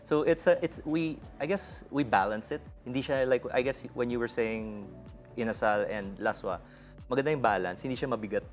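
Filipino-accented chef, relaxed and halting. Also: male voice, mid-range voice, faint music behind. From Philippines (Bacolod). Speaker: chef guest. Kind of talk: casual interview chat.

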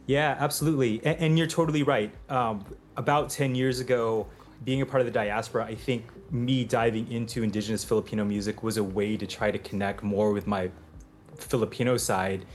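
A man's voice: on the low side, steady tone, a little fast. A man from the United States who composes for film, answering interview questions in a thoughtful way with plenty of fillers and restarts.

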